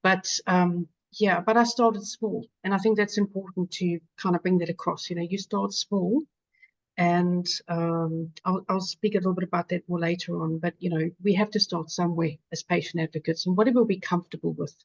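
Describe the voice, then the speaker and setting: A woman's voice: on the low side, steady tone, moderate pace, lo-fi sound. A woman with a South African accent speaking earnestly as a patient advocate on a webinar panel, with many fillers and restarts.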